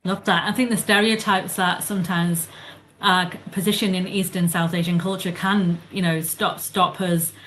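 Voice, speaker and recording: A woman's voice: on the low side, fairly even intonation, normal speed, fairly bright tone, a little hiss or hum. A woman moderating a panel discussion, earnest and encouraging as she speaks to the founders.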